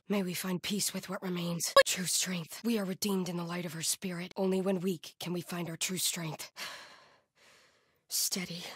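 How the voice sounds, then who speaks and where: Devout cult soldier lady, really breathy n husky, slow, solemn game lines. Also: on the low side, fairly bright tone, no room sound, studio quality, exaggerated voice.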